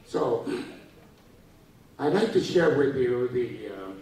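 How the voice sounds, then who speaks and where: An older man from Taiwan with a Taiwanese accent, giving a halting lecture to students with many fillers and restarts. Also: medium pitch, lively intonation, darkish tone. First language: Japanese. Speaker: guest speaker. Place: large lecture hall. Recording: echoey hall, voice from a distance.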